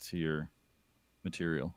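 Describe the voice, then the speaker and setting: A man with a low, rich buttery voice, chatting as a podcast guest. He is easygoing and laughs often. The sound is dry and close. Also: level tone, measured pace, dry recording. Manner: laid-back, laughing, easygoing. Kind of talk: casual conversation.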